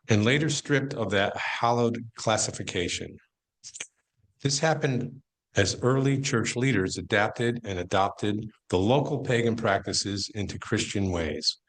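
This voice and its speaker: A man's voice: lowish voice, a bit bright, low-quality recording. A man playing the formal narrator of an amateur stage play, reading expository lines with emphatic phrasing. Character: formal male narrator moderating guests. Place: church hall, live performance.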